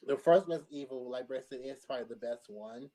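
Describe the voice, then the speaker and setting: A man casually giving his opinions in a panel discussion, often halting as he searches for words. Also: medium pitch, dark tone.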